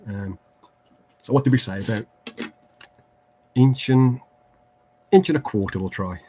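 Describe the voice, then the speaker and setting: A man's voice: mid-range voice, unhurried, dark tone, dull audio, a little hiss or hum. A man with an English Midlands accent hosting a casual craft livestream, rambling and self-deprecating with lots of fillers and restarts as he works at his desk. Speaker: livestream host building tabletop terrain. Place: home craft desk, indoors.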